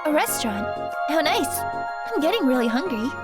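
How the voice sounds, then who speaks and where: A woman's voice: high voice, sing-song, moderate pace, loud music behind the voice. A woman playing a poised, coy seductress in an audio drama, speaking politely and with understatement.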